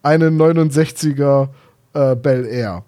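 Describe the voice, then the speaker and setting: A man's voice: medium pitch, slow pace, warm tone. A man co-hosting a casual discussion of books and audio dramas in a chatty, explanatory way. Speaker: podcast co-host.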